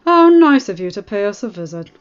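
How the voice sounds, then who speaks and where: A derisive, arrogant older soldier, voiced by a woman storyteller. The audio is a little muffled, with soft music under it. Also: mid-range voice, sing-song, brisk, clean tone, lightly acted. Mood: sneering. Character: arrogant sneering older male master-at-arms. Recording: dull audio with faint music.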